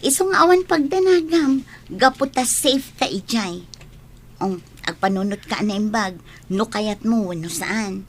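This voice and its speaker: A woman's voice: mid-range voice, expressive, measured pace, slight background noise. A woman playing a friendly, persuasive young bar waitress in a scripted audio drama. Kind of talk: scripted radio-style drama.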